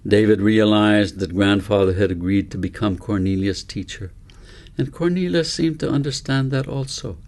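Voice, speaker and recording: A man's voice: on the low side, moderate pace, a little mellow. A man narrating a story as an author reading his own book aloud.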